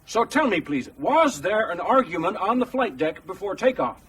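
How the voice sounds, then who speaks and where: A man's voice: high-pitched, very animated, moderate pace, a bit bright, recorded from a distance, a little hiss or hum. A man acting in a radio drama as a pushy American current affairs presenter, formal and insistent on air.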